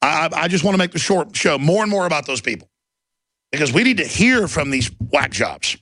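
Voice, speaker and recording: A man's voice: fairly high pitch, big pitch swings, a little fast, sharp tone, no room sound. A man with a Texas accent hosting a combative call-in radio show, ranting indignantly and restarting his sentences. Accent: Texan. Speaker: radio talk show host.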